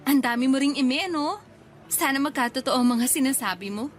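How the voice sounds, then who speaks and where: A young Filipino girlfriend in a radio romance. She speaks in a high voice, coy and teasing, doubting sweet promises, with faint music underneath. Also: animated, moderate pace. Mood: doubtful. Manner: playfully skeptical, coy. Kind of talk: radio romance drama acting.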